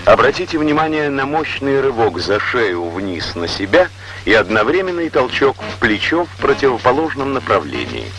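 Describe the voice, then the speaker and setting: A man reading scripted instructional narration in a formal, measured, didactic way, on an old Soviet educational film soundtrack. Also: medium pitch, big pitch swings, over music, low-quality recording.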